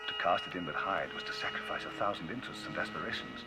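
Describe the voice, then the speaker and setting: A man giving a dramatic, theatrical reading of a classic novel as the narrator of a vinyl audiobook, his pitch and loudness rising and falling widely. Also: expressive, fast talker. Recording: vinyl audiobook with music bed. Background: music.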